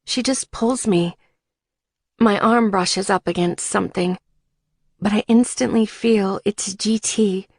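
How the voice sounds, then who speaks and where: Woman, audiobook narration, measured and emotive, slow, very dry close sound. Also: medium pitch, fairly even intonation, dry recording. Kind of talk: dramatic first-person scripted narration.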